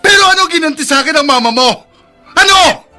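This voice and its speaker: Radio drama casting: bitter scolding dad, strained high pitch, hard forceful tone. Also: male voice, animated, fast talker, faint music behind, full character voice. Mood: bitter, wounded. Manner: scolding, loud, aggrieved. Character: embittered, hot-tempered father of two children. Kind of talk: Filipino radio drama acting.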